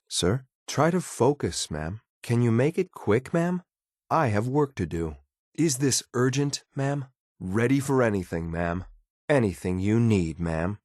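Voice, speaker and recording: An actor playing a stern, loyal synthetic agent in a video game, speaking in a clipped, formal, deadpan military way. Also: male voice, on the low side, no echo, clean studio sound. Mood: dutiful, clipped. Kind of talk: scripted game character lines.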